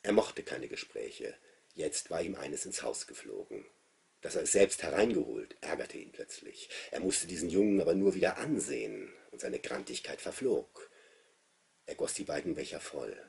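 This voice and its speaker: A man in his fifties giving an expressive literary reading of a novel as its author. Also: rumbling low, normal speed, creaky, breathy edge. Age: 50s. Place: small book-lined study, indoors.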